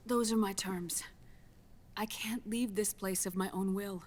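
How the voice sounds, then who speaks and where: An actress playing a grave, guarded scientist in a video game, measured and solemn, with a resigned air. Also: female voice, mid-range voice, fairly even intonation, lightly acted. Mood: regretful, guarded. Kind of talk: dramatic video game voice acting. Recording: musical score underneath. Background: dramatic game soundtrack music.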